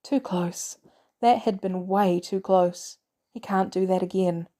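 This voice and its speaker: A woman's voice: fairly low pitch, steady tone, takes it slowly, dark tone. A woman voicing a wary, defensive man, a former covert operative, with terse delivery in a story. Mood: shaken.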